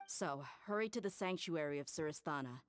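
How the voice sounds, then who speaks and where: A tough, good-hearted mercenary in an anime game. The voice is notably low for a woman, with blunt, casual phrasing and an easy swagger. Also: animated, brisk. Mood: confident.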